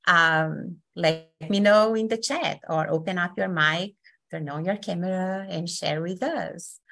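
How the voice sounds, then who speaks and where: A woman from Brazil with a Brazilian accent, hosting an online session as facilitator in a friendly, unhurried way with many fillers. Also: on the low side, creaky, a bit bright.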